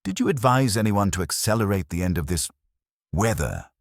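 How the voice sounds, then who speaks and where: A man's voice: fairly low pitch, expressive, normal speed, dry recording, studio quality, voice pushed up. A man voicing an imperious, pedantic genius detective in an audiobook, with pompous, precise and formal speech. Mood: probing.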